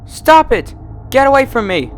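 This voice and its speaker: A man voicing a frightened, angry young female pony wizard in a horror story reading, yelling and pleading. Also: extremely high pitch, big pitch swings, moderate pace, pitched above the performer's own voice. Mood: desperate. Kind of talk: horror fan fiction reading.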